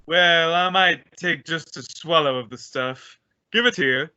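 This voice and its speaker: Grumpy, curt principal for radio comedy, male actor, sharp forceful voice, muffled recording. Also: fairly high pitch, a little slow, clear, resonant tone. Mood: exasperated. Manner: curt, exasperated. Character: grumpy, blustering male school principal with hiccups. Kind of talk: old-time radio comedy acting. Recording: dull lo-fi radio drama audio.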